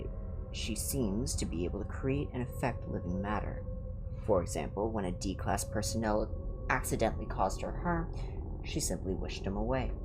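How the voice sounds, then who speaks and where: A woman with a very deep voice reads stories aloud as a livestream host. Her pitch moves in a lively way between the reading and casual remarks. Music plays clearly behind her. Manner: switches between upbeat chat and serious, measured reading.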